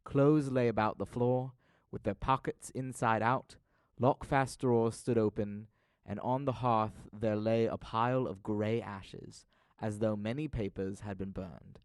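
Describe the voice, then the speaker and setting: A man's voice: lowish voice, steady tone, a little slow, no echo, studio quality. A man narrating an audio drama as a scripted story, with a formal, literary delivery.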